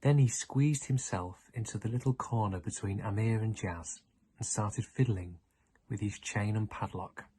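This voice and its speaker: A man's voice: on the low side, measured pace, dark tone, a little hiss or hum. A man reading a children's story aloud as narrator. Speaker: audiobook reader.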